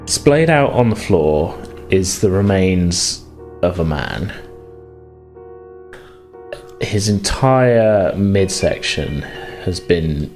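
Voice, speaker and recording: A man's voice: deep voice, big pitch swings, drawn-out words, pauses often, a little mellow, faint music behind. A man narrating a tabletop horror game as game master, phrasing things hesitantly as he improvises.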